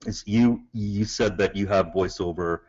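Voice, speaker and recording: Polite low-voiced man from Canada. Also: a little mellow, lo-fi sound. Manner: polite, halting, many fillers. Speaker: webinar caller, acting student. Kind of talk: asking questions on a webinar.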